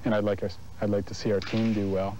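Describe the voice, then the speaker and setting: Guy athlete chatting in an interview, dark soft-edged lowish voice, little bit of background noise. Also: flat delivery, quick pace, poor audio. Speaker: competitive shooter being interviewed. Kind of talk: casual interview.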